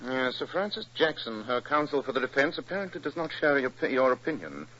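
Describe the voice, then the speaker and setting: An actor playing a brisk, authoritative British gentleman detective with a cool, commanding manner in a 1940s radio drama. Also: medium pitch, big pitch swings. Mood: analytical, pensive. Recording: muffled, hissy old radio recording.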